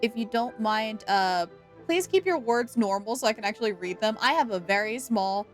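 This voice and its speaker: A woman's voice: on the high side, animated, moderate pace, faint music behind. A young woman livestreaming a video game, chatting casually in a playful, giggly, exclamatory way. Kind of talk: casual gaming stream commentary and chat.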